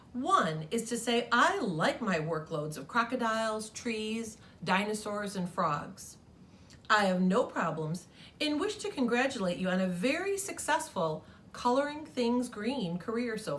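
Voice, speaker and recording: A content green crayon writes a formal, polite note, read by a woman. The telling is slow and the pitch is lively. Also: slight background noise.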